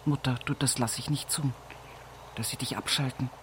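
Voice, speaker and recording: An actress playing an earthy, stubborn, devout widow from the village in an intimate radio monologue, with a husky, breathy voice and an Upper Palatinate Bavarian accent. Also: female voice, a bass voice, fairly even intonation, normal speed, slight background noise. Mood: grieving. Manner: earthy, folksy storytelling at a bedside. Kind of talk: intimate radio drama monologue in rural vernacular.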